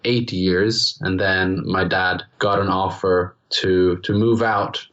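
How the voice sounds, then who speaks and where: A man's voice: low-pitched, fairly even intonation, slow, fairly bright tone, muffled recording. A young man from the Czech Republic, a native Czech speaker with a strongly Americanized international-school accent, answering interview questions in a casual, rambling way with many restarts. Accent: international-school American English. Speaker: guest musician.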